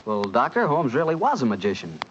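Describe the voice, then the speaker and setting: A man's voice: mid-range voice, wildly swooping pitch, brisk, soft background music. An American man hosting in a polished, salesmanlike 1940s radio announcing style. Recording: muffled, noisy 1940s radio broadcast.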